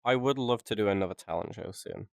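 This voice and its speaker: A man's voice: fairly low pitch, fast, vocal fry, darkish tone, no room sound, clean studio sound. A man co-hosting a casual unscripted podcast, joking and swearing as he rambles along. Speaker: podcast co-host and video editor.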